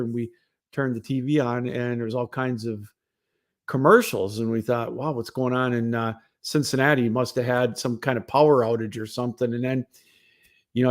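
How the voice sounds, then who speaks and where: A man hosting a casual solo sports broadcast, talking in a rambling, conversational way. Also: medium pitch. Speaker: sports show host.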